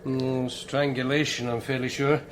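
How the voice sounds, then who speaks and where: An actor playing a wry senior Scottish forensic pathologist in a radio drama, speaking in a measured, clinical way. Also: male voice, medium pitch, little pitch movement, close to an everyday voice. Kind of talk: naturalistic radio drama acting. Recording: clearly noisy background.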